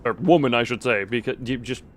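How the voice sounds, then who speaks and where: A man livestreaming a game, chatting casually in a halting, rambling way as he thinks aloud. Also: mid-range voice. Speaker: livestream host. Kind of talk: casual chat while playing a horror game live. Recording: clear background noise.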